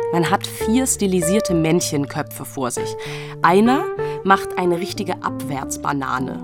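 A woman with a lowish voice explains things playfully, in the style of a radio feature. Plucked guitar plays prominently behind her. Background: plucked guitar music.

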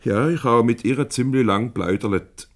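An actor playing a shrewd, teasing boss and go-between in a 1970s Swiss German radio comedy, probing, wry and avuncular. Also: male voice, medium pitch, normal speed, close to an everyday voice. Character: shrewd, teasing boss mediating a couple's quarrel.

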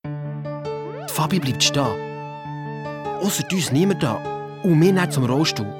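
Lowish-voiced young Swiss boy. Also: over music, heavily acted. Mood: animated. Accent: Swiss German. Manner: colloquial, halting excited retelling. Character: young Swiss boy detective, cheeky, earnest sidekick. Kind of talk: first-person storytelling in a children's radio play.

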